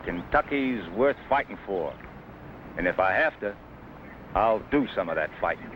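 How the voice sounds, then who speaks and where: A confident, folksy frontier hunter acted by a man, with lively swings of pitch, as in a vintage western drama. The recording is dull with faint noise. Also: normal speed, natural voice. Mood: determined. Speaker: actor playing a frontiersman. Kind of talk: old-time western adventure drama acting.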